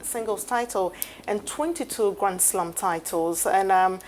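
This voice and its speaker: Female TV sports presenter, medium pitch, chatty live delivery with pauses, light noise behind. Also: poor audio. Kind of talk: live sports news segment with studio chat. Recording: steady background noise.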